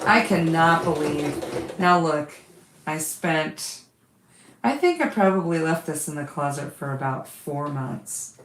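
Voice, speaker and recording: Slow-talking woman chatting while she sews. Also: low-pitched, lively intonation, reverberant, slight background noise. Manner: chatty, reads out comments while working. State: lost her voice. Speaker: quilting livestream host. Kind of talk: casual chat with viewers while sewing.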